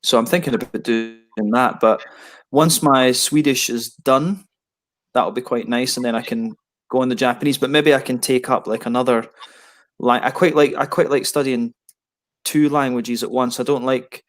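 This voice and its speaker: A man's voice: medium pitch, fairly even intonation, moderate pace. Man in his thirties, casual chat, rambling restarts, headset on a video call, everyday mid voice. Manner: rambling, many restarts and fillers. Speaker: beer reviewer on a video hangout.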